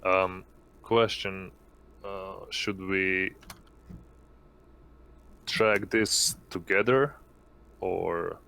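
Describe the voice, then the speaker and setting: A man with a low, creaky voice speaks very slowly. He talks informally as a player in a tabletop game. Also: fairly even intonation, sharp tone. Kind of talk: casual game chat.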